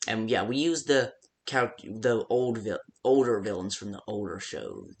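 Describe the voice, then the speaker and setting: A man with a somewhat low-pitched voice talks through a homemade game guide as if leaving a casual phone message. He speaks in a loose, improvised way. His sentences halt, double back and start over as he rambles along. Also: sing-song, vocal fry. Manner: rambling, halting, self-interrupting with stammered restarts. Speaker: creator of a fan-made game parody, voicing its phone-guide messages.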